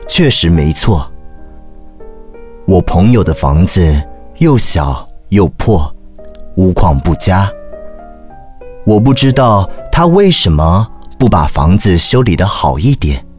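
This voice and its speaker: An earnest, caring priest recounting his memoir. The voice is very low and unhurried, deliberate yet expressive, in a literary audio drama over faint music. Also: full character voice. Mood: reflective. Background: music behind the voice.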